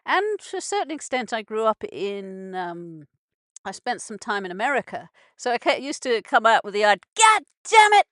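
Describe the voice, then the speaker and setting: A woman's voice: a little high, big pitch swings, normal speed, a bit bright, no room sound. A woman who grew up in Scotland, hosting a live radio show in a chatty, rambling way and laughing easily. Her British accent carries Scottish, Cockney, Birmingham and South African traces. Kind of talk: casual live radio chat. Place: narrowboat cabin.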